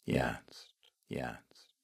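A man guiding a self-confidence hypnosis session, speaking slowly and softly with long pauses and repeated words. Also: no room sound, studio quality.

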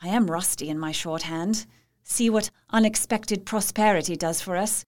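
A woman's voice: mid-range voice, normal speed, a little mellow, close to an everyday voice. Casting: woman to play young married diarist for Victorian audio drama, mid-range voice, thoughtful and precise. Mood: reflective. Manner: reflective, precise. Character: thoughtful, dutiful young Victorian wife writing her journal.

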